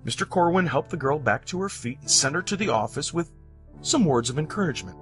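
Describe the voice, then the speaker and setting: A man from the United States narrating scripted personal stories, with dramatic, deliberate phrasing and pauses. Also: a little high, animated. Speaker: storyteller. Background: background music.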